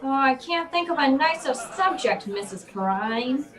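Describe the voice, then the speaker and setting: A tough, world-weary female private eye as a woman acts her, with a fairly high voice. She is wry and commanding, in the manner of old radio detective drama. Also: lively intonation, a little slow, crowd noise.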